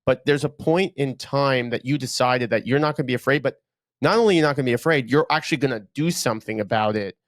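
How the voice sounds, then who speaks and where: A man's voice: medium pitch, fast, dry recording, studio quality. A man hosting a podcast, enthusiastic and casual, repeating words and using lots of fillers as he asks questions. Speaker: podcast host. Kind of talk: casual interview talk.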